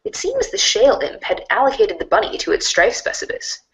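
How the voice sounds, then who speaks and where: A woman narrating a second-person storybook in an expressive, wry way. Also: mid-range voice, fairly quick, rough recording.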